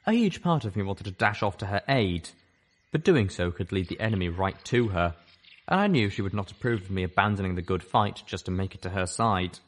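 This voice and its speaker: A man reading a story aloud as first-person narration, expressive and dramatic. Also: low-pitched, a little fast. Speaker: audiobook reader.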